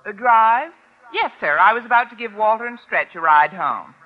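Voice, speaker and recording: An American actress playing a quick-witted, flustered high school teacher with dry, deadpan asides in an old radio comedy. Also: female voice, natural voice. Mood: bright evasion. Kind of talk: 1940s-50s American radio comedy acting. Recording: noisy, muffled old radio recording.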